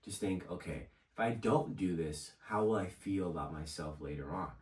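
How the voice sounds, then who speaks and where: A young man with a low, slightly raspy voice talks casually and encouragingly, like a motivational video host. He sounds set back from the microphone in an echoey room. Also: moderate pace, a little mellow, distant mic. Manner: conversational, encouraging. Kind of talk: casual motivational vlog talk.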